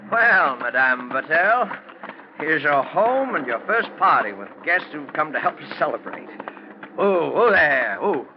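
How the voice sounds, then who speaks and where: An actor playing a fearful, lovestruck plantation owner in a 1940s radio drama, speaking in a halting, agitated, melodramatic way. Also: male voice, a little high, very animated. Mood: excited, impatient. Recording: muffled, noisy 1940s radio recording.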